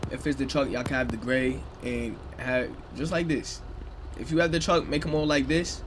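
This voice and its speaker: A young man talks players through a police roleplay with easy, slangy instructions. His voice sits at an ordinary mid pitch. Engine and street sounds from the game run steadily under him. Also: fairly even intonation, moderate pace, background noise. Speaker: streamer leading police roleplay training.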